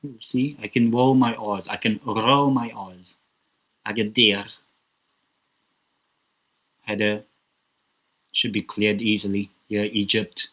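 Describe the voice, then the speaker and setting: A man's voice: on the low side, fairly even intonation, takes it slowly, pauses often, fairly bright tone, low-quality recording. A man hosting a live football watchalong, giving casual, rambling commentary with chatty asides from a small room at home. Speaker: watchalong host.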